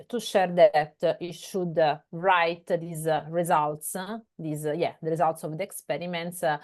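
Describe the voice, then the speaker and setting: A woman's voice: fairly low pitch, darkish tone. A woman with an Italian accent speaking on a panel over a video call, hesitant and searching for her words. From Italy. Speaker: panelist, journal editor. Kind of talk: online panel discussion.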